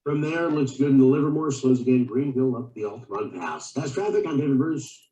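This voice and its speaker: A man's voice: mid-range voice, animated, a little fast, dark tone, roomy sound, muffled recording. A man reading a traffic report as a radio reporter, heard through a distant, noisy playback.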